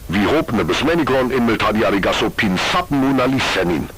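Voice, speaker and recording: A man's voice: medium pitch, animated, a little fast, fairly bright tone, a little hiss or hum, low-quality recording. A man reading a formal scripted emergency announcement.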